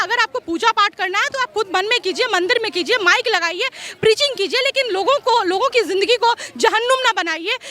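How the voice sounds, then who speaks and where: Very high, bright-voiced woman giving her opinion. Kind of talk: street interview opinion.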